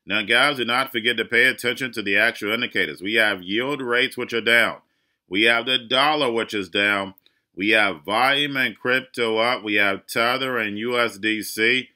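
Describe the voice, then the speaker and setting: Bright-voiced preachy crypto host. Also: male voice, medium pitch, normal speed. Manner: preachy, urgent, repeats "guys" and "remember". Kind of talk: monologue market commentary.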